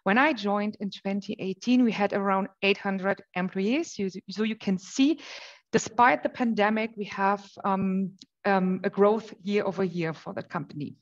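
A woman from Germany with a German accent, giving a webinar presentation in a measured way with frequent pauses. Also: mid-range voice, dull audio. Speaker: marketing manager presenting. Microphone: webcam on a video call.

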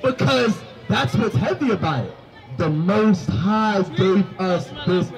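High-voiced street preacher shouting on a mic. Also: male voice, expressive, slow, a faint crowd, low-quality recording. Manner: commanding, rising to shouts, quizzes listeners. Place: city sidewalk by a food cart. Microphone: handheld microphone.

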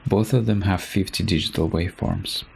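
A man narrating a software tutorial from a script, as a presenter explaining step by step. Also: low voice, moderate pace, vocal fry, slight background noise.

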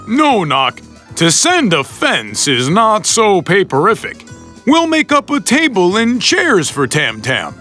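A man voicing a gentle, thoughtful and slightly pedantic moose in a children's cartoon, speaking in a deliberate, reasoning way. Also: sits high, huge pitch swings, faint music behind, heavily acted. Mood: reasonable. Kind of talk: children's animated series voice acting.

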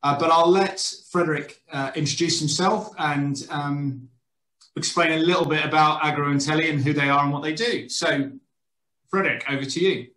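Podcast host in an echoey room. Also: male voice, a little high, normal speed, sharp tone. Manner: casual, hesitant with fillers. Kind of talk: video call interview. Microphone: webcam on video call.

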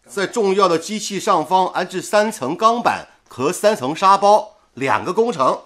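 A man's voice: high-pitched, moderate pace. An actor playing a dedicated young male radio engineer in a period radio drama, speaking earnestly and steadily. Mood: instructive. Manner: earnest, steady, gently persuasive. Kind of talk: 1930s-set radio drama acting.

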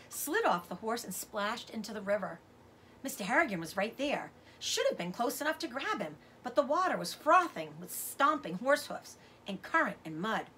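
A middle-aged woman reading a children's novel aloud as a teacher does, with expressive narration. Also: a little high, a little hiss or hum. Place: indoors, room with bookshelves.

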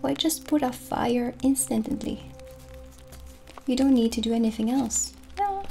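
A woman in her thirties doing a gentle, soft-spoken ASMR roleplay as a witch shopkeeper, unhurried and often slipping close to a whisper, in a small room. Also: fairly high pitch, gravelly. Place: small dim indoor room set as a candlelit shop. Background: original music.

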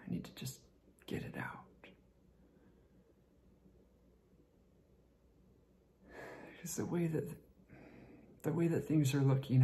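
A man performing a dramatic monologue as a tipsy, lovesick young man confessing to a friend, halting and repetitive, with a creaky voice that often drops toward a whisper. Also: fairly high pitch, mellow, a little hiss or hum. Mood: pleading, hesitant. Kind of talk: dramatic stage monologue rehearsal.

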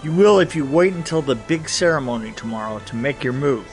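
An actor playing an earnest, cryptic, eccentric inmate in an audio drama, solemn and insistent. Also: male voice, medium pitch, fairly quick, soft background music, lightly acted.

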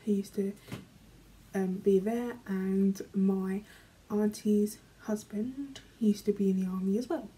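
A young British woman vlogging from her bedroom, reading questions aloud and answering in a chatty, rambling way, often trailing off quietly. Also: medium pitch, steady tone, slow, low-quality recording. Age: young adult. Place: small bedroom, indoors. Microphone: camera in front, medium framing.

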